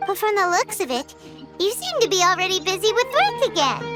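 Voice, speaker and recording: Casting: tiny fairy sidekick for a game, extremely high squeaky voice, chirpy, very lively, music underneath. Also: female voice, a little fast. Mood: cheerful. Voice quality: squeaky, childlike. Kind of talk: video game voice acting. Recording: music behind the voice.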